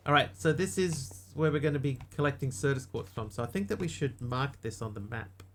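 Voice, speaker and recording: A man's voice: mid-range voice, a little fast, a little hiss or hum. A man with a New Zealand accent chatting casually to viewers while he plays a game live, with dry, self-mocking asides. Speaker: streamer. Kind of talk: casual live-stream commentary while gaming.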